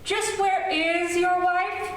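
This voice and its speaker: Woman as a high-voiced stern store detective, very echoey. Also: steady tone, slow. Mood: stern, skeptical. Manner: firm, dryly sarcastic. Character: stern, skeptical female store detective, unbudging and dry. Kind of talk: 1940s radio comedy acting. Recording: very echoey, distant.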